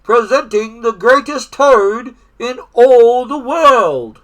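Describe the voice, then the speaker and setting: A man voicing a strange, pompous stage announcer in a storybook, proclaiming slowly and grandly. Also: extremely high pitch, expressive, a bit bright, pitched above the performer's own voice, exaggerated voice, low-quality recording. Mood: grandiose. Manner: pompous, slow drawn-out proclaiming.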